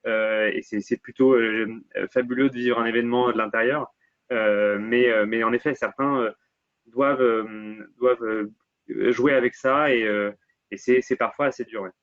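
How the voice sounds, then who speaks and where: A man's voice: mid-range voice, fairly even intonation, normal speed, a bit bright, dry recording, highs cut off. A man answering as a panel guest, hesitant and repeating words as he goes. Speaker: guest, motorsport professional.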